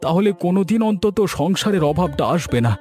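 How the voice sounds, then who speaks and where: A man's voice: high voice, quick pace, darkish tone, close to an everyday voice. A man voicing a hardworking, devoted village fisherman and family man in a moral cartoon story. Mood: earnest, affectionate. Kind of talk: Bengali moral cartoon dubbing. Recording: music behind the voice.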